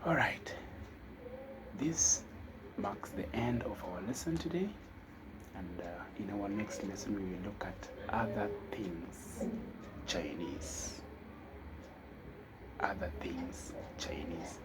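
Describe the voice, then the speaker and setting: A man teaching a casual spoken lesson, talking slowly and thinking aloud with fillers. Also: sits low, animated, very noisy. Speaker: language teacher.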